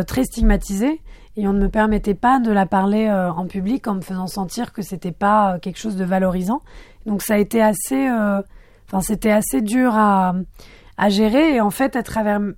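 A woman filmmaker born in France, whose first language was Arabic, answering interview questions thoughtfully, pausing and restarting as she searches for words. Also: mid-range voice, a little mellow, dry recording, studio quality.

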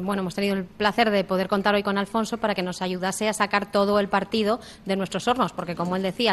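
A woman, a company spokesperson giving fluent, explanatory promotional answers in an interview. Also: medium pitch.

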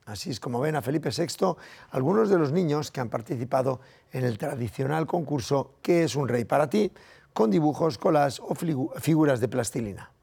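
A man's voice: on the high side, animated, normal speed, gravelly, dark tone, breathy edge. A man with a Castilian Spanish accent presenting the news in a scripted reading style.

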